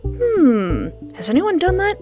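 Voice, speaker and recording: A woman's voice: very high voice, wildly swooping pitch, slow pace. A woman let's player reading game narration aloud, with playful, jokey casual commentary. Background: game music.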